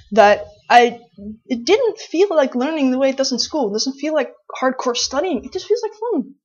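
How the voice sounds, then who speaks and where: A woman in her late twenties from the Netherlands, speaking English with a Dutch accent and a slightly husky voice. She chats to camera in a loose, rambling way, restarting her sentences often. The recording sounds a little dull and lo-fi. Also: a little high, darkish tone. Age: late 20s. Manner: chatty, rambling, with many restarts. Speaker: vlogger. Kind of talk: casual talk to camera.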